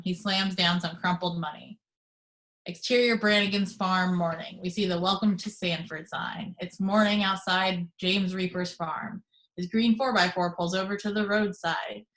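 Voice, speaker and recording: A woman reading the action descriptions aloud in steady, measured narration for an amateur table read over a video call. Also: medium pitch, low-quality recording. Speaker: reader of stage directions.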